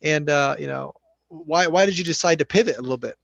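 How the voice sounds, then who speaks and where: A male podcast host, upbeat and matey, packing his questions with "you know" and "man." His voice is a touch high. The audio is dry and dull, like a lo-fi home setup. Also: fairly quick, vocal fry, a bit bright, no room sound. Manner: hyped, buddy-style, "man" and "you know". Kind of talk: casual podcast interviewing.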